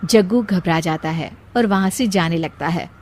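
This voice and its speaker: A woman's voice: on the low side, moderate pace, a little mellow. A woman narrator reading a scripted story.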